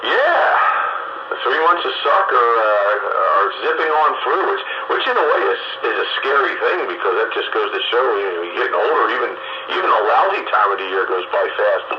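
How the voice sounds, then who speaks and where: A man's voice: mid-range voice, big pitch swings, brisk. A man chatting casually over amateur radio in a folksy, wry, rambling way. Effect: two-way radio transmission. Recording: muffled, echoey, noisy ham radio transmission. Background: clear radio static and noise.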